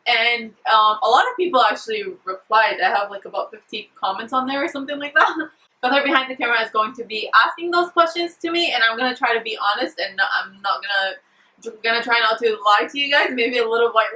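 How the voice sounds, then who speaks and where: A woman's voice: on the high side, brisk, pushed and bright, reverberant, recorded from a distance, low-quality recording. A woman in her twenties, a beauty vlogger and makeup artist, chatting casually and jokingly while answering viewer questions at home. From Afghan and Syrian family. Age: 20s. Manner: giggly, jokey, chatty with many fillers. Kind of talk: casual Q&A chat to camera.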